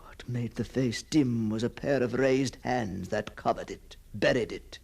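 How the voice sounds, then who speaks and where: An actor playing a haunted, obsessive gentleman in a gothic radio monologue, halting and theatrical, repeating his words with a husky, gravelly voice. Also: mid-range voice. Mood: hushed dread. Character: haunted, obsessive gentleman confronting a ghostly double. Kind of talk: dramatic gothic monologue, radio drama acting.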